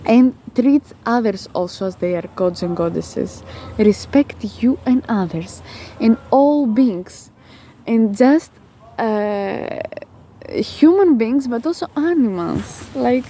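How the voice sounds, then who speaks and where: A Greek woman with a Greek accent giving a casual motivational coaching talk while walking outdoors, halting and emphatic with drawn-out words. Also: a little high, animated, dark tone, a little hiss or hum. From Greece. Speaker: spiritual life coach.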